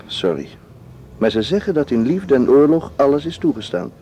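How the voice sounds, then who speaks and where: An actor in a Dutch radio play, playing a devoted, calmly persuasive man who keeps secrets. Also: medium pitch, normal speed, highs cut off, slight background noise, lightly acted. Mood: earnest. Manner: calm, gently insistent.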